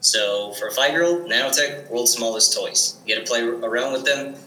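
A man's voice: mid-range voice, normal speed, sharp tone, echoey room, a little hiss or hum, rough recording. A teenage boy answering interview questions eagerly, with frequent restarts and fillers. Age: teens. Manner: eager, restarts often, many likes and ums. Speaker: guest.

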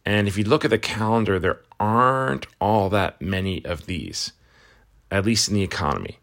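A man hosting a casual morning market rundown, talking conversationally with frequent restarts and fillers, slightly hoarse from a cold. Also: on the low side, expressive, moderate pace, fairly bright tone. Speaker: market news host.

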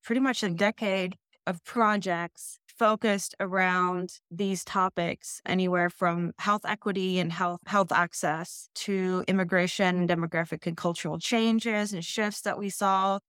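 Woman from the Netherlands, smooth clear voice, dry close sound, measured explanations. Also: lowish voice, steady tone, fairly bright tone, dry recording. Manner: measured, explanatory, occasional restarts. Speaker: school district planning head. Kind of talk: interview answers.

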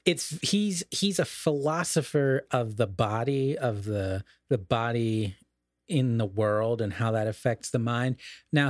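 A man's voice: medium pitch, no echo. Scholarly man podcaster, dry close sound. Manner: discursive, scholarly, self-correcting. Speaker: podcast co-host. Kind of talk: casual literary discussion.

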